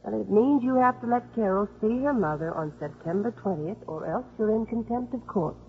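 An actress playing a composed, firm female official in a 1950s radio drama, speaking in a measured, pointed, well-spoken way. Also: mid-range voice, animated, lightly acted. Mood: firm, reproving. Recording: muffled vintage 1950s radio with faint music.

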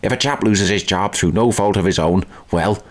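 Deep-voiced man reading a diary. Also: moderate pace. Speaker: reader of a wartime diary. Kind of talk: scripted diary narration.

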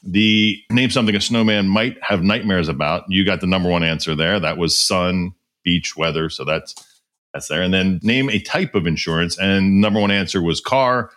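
A man hosting a podcast, asking questions and running a quick quiz game in a loose, repetitive way. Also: low voice, a bit bright. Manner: loose, repeats himself, many fillers. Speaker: podcast host.

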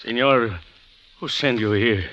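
An actor playing a nervous, evasive elderly shopkeeper in a 1940s radio drama, with a stammering, pleading manner. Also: male voice, mid-range voice, takes it slowly, sharp tone. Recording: dull old radio recording.

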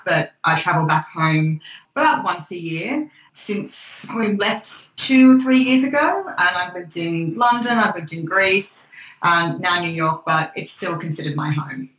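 A woman's voice: lowish voice, unhurried, a bit bright, echoey room, poor audio. An Australian woman, a podcast guest, chatting casually and informatively in an interview.

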